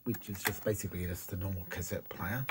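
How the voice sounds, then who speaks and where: A middle-aged Australian man giving a casual, unscripted hands-on demo in halting phrases, recorded on a handheld phone indoors. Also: low-pitched, fairly even intonation, very noisy. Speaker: retro computer hobbyist presenter. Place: small cluttered room indoors.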